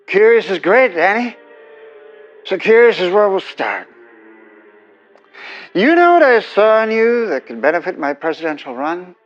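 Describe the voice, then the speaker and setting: An actor playing a domineering, wealthy 1950s presidential candidate, pitching grandly and persuasively over a telephone. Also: male voice, lively intonation, a little slow, long pauses. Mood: cool, controlling. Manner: grandiose, persuasive salesman patter. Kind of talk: audio drama acting, telephone dialogue. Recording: dull telephone-style sound, faint music.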